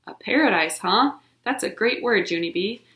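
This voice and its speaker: A woman voicing a patient, dry-humored male schoolteacher who speaks calmly. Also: medium pitch, moderate pace. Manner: calm, gently wry. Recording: roomy echo.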